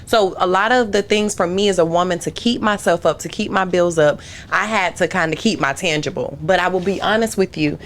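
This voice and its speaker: Female guest, low voice, chatty and emphatic. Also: fairly quick, a little hiss or hum. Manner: chatty, emphatic, talks in long runs. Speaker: podcast guest. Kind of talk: casual podcast chat.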